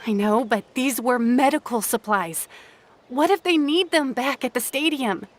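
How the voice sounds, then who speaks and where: A woman's voice: sits high, moderate pace, faint music behind, lightly acted. A woman playing a worried, dutiful young supply carrier in a video game, earnest and weary. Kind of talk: English video game voice acting.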